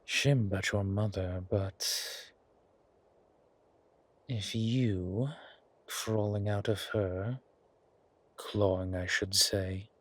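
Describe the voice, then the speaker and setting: A man voicing an ancient, urbane vampire father, doting and predatory, with theatrical pauses in a horror audio drama. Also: lowish voice, fairly even intonation. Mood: tender, musing. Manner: slow, savoring, theatrical delivery with long pauses.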